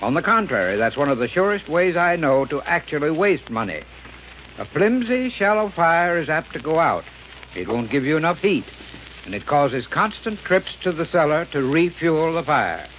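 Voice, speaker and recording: A man with a fairly high, very animated voice delivers formal household advice as a radio expert. It sounds like a muffled 1930s broadcast. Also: moderate pace, slight background noise. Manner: formal, instructive. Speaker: heating expert giving sponsor advice. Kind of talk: scripted 1930s radio commercial.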